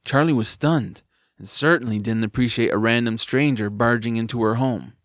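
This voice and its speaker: A man narrating a scripted story in an expressive, dramatised storytelling manner. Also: mid-range voice, moderate pace, background noise.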